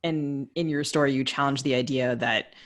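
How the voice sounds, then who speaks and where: A woman's voice: very deep voice, fairly even intonation, moderate pace. A woman co-hosting a podcast, asking thoughtful, discursive questions in a conversational literary interview style.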